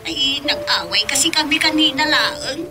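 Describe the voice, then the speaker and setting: An actress playing a coy, giggly, dramatic second wife in a comic radio drama, full of exclamations. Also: female voice, sits high, animated, moderate pace, over music.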